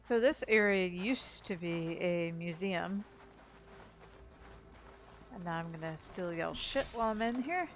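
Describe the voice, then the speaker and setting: A woman's voice: lowish voice, a little slow, pauses often, slight background noise. A woman giving casual, chatty commentary as the host of a video game playthrough. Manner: informal, chatty. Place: voice-over on game audio.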